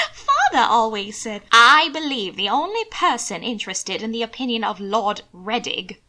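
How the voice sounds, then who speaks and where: Brief: woman reading a sharp-tongued elderly aunt in a storybook, dry and arch, bright and forceful. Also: on the high side, big pitch swings, unhurried, heavily acted. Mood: tart. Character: tart, sniffing old aunt with a cane.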